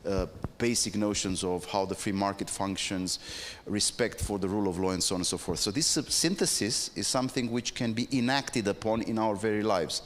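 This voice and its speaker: A man's voice: fairly low pitch, brisk, few pauses. A man giving a reflective conference talk, hesitating and restarting his phrases. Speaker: panel speaker. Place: conference hall. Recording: long hall reverb.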